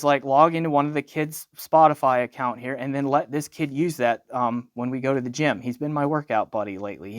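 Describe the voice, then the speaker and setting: A man's voice: on the high side, clear, resonant tone, no echo, clean studio sound. A middle-aged man giving an unscripted product review in a conversational way, thinking aloud and posing questions to himself. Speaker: audio gear reviewer.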